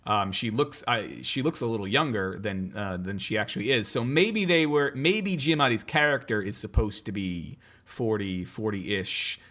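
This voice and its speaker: Bright, hesitant man chatting. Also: on the low side, lively intonation, moderate pace, hardly any pauses. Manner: hesitant, many ums and repeated starts. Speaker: podcast co-host. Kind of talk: casual chat.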